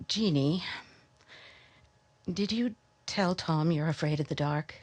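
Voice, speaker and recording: A woman portrays a middle-aged mother in a radio play. Her voice is notably low. She speaks with gentle, indulgent teasing. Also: takes it slowly. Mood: gently teasing. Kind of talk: radio drama dialogue.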